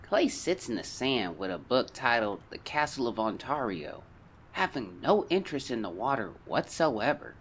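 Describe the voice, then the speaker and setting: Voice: mid-range voice, big pitch swings, moderate pace, slight background noise, natural voice. An actor playing an earnest, sensitive young diarist of the 1910s, reading journal entries formally and plaintively in an audio drama. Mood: sulky, hurt. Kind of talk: audio drama diary reading, old-fashioned diction.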